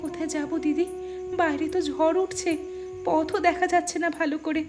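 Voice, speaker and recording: A woman's voice: very high-pitched, fairly even intonation, moderate pace, over music, voice pushed up. A woman voicing a timid, fretful young village daughter-in-law in a folk tale, plaintive and anxious. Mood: hurt, anxious. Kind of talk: Bengali folk tale dramatization.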